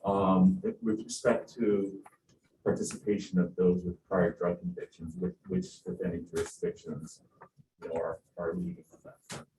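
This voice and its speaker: A man's voice: low voice, big pitch swings, normal speed, gravelly, mellow. A man on a task force asking brief, direct questions at a public meeting. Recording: distant mic, faint noise.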